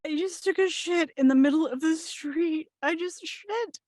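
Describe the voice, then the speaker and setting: Woman playing a very high-voiced desperate bride. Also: a little slow, darkish tone, dry recording. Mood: mortified. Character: desperate, mortified bride-to-be in a gross-out comedy. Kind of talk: comedy screenplay table read.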